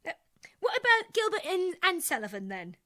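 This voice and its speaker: Naive, cheerful, slow-witted female pub regular, played by a woman, very high bright voice, for radio comedy. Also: big pitch swings, heavily acted. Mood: puzzled, confident.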